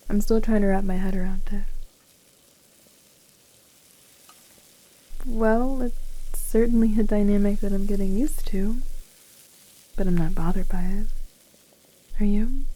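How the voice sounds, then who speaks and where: A woman playing a gently flirtatious, affectionate woman in her forties in an intimate ASMR roleplay, speaking softly and breathily, often near a whisper, without hurry. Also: mid-range voice, steady tone, normal speed, lightly acted, low-quality recording. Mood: candid, affectionate. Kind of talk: ASMR romantic roleplay, intimate one-sided dialogue.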